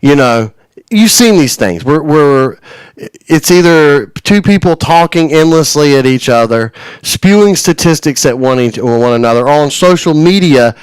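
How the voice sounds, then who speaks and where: A man's voice: on the high side, expressive, unhurried, hardly any pauses, clear, resonant tone, no echo, poor audio. A man in his forties hosting a radio show, chatting casually, rambling with frequent restarts and chuckles. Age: 40s. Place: radio studio.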